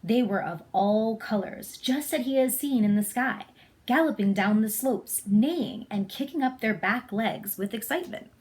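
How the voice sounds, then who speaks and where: A woman's voice: fairly high pitch, animated, normal speed, darkish tone. A woman narrating a children's story as a read-aloud. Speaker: storybook reader.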